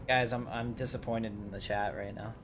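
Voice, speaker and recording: A man's voice: on the low side, fairly even intonation, normal speed. A man doing casual commentary while livestreaming a video game. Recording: noisy stream with game ambience.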